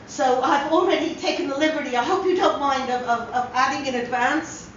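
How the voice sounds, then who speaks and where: A woman's voice: high-pitched, brisk, a bit bright, pitched above the performer's own voice. A woman voicing a shy, polite elderly spinster in a dramatic story reading, flustered and stammering with a nervous, eager manner. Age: older. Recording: roomy echo, distant mic, dull hissy sound.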